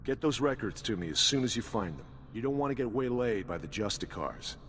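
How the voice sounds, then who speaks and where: A man's voice: mid-range voice, big pitch swings, fairly quick, fairly bright tone. An actor playing a wry, suspicious male intelligence agent in a video game, talking in a terse, knowing, dry way. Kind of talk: video game character dialogue. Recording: reverberant hall with background noise.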